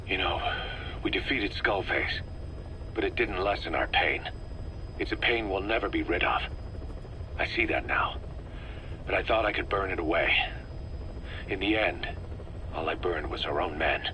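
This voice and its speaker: A remorseful military commander in a video game. The voice sits very low yet has a sharp, forceful edge. He talks tersely and intensely, over faint noise. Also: moderate pace, long pauses, rough recording. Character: brooding, remorseful military commander, adult man. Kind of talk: video game cassette-tape dialogue.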